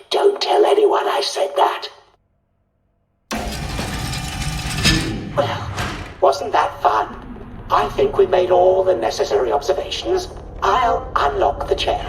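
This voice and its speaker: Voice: very high voice, vocal fry, noisy background, full character voice. An actor voicing a dotty, cheerfully callous scientist in a video game, with a patronising, absent-minded manner, over an intercom. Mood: mildly dismayed. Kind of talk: video game character acting. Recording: roomy distant intercom, music behind.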